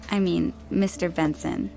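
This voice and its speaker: A woman's voice: on the low side, steady tone, slow, vocal fry, mellow, faint music behind. An actress playing a polite, long-suffering woman secretary with a courteous, professional manner, in a radio drama. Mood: confiding.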